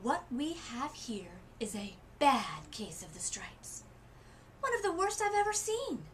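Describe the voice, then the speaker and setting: A woman's voice: high voice, very animated, measured pace, a little hiss or hum, pitched above the performer's own voice. Woman playing a sweet, cheerful old woman. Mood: knowing. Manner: bright, gentle, knowing.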